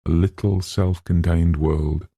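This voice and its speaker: A man with a low, creaky voice narrates a literary novel in a measured storytelling style. His tone is dark and mellow, recorded close and dry. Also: fairly even intonation, no echo. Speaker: audiobook narrator.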